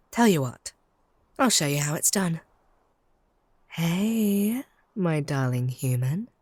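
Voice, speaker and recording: A woman's voice: deep voice, no room sound. Snide, possessive vampire woman, played by an actress. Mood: smug, flirty. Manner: haughty, snide, theatrical. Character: possessive, lovestruck female vampire, bickering and flustered. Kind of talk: comedic audio roleplay acting.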